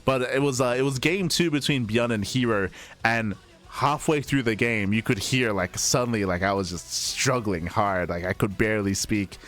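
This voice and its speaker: A man's voice: on the low side, normal speed, fairly bright tone. Chatty male caster with a strained voice. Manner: chatty, rambling with fillers and restarts. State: recovering from losing his voice. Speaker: esports caster. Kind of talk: casual stream sign-off.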